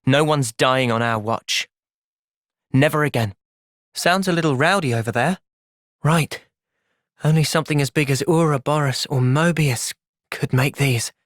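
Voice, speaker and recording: A man playing an earnest, gentle, resolute young soldier in video game cutscenes. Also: medium pitch, a little slow, long pauses, no room sound, studio quality, lightly acted. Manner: earnest, thoughtful. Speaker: voice actor.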